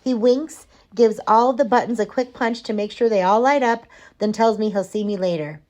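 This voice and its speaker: A woman narrates a children's novel in the first person, reading it out as a teacher does for a class. Her voice sits at a middling pitch for a woman. The delivery is that of an audiobook read for young listeners. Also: moderate pace, a little mellow.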